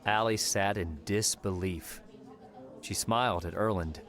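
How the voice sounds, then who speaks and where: A man's voice: fairly low pitch, unhurried, fairly bright tone. A man reading scripted story narration as an audiobook narrator.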